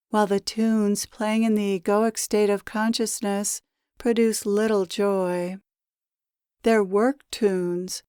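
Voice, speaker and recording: Slow, clear-voiced woman guiding meditation. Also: medium pitch, mellow, dry recording, studio quality. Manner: slow, measured, deliberate phrasing. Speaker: guided meditation teacher. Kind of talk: guided meditation, spoken as a channeled message.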